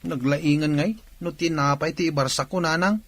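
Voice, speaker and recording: Man acting as eager younger brother, highish voice, outspoken, comic radio drama, dry close sound. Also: normal speed, fairly bright tone, no echo. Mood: cheeky, protesting.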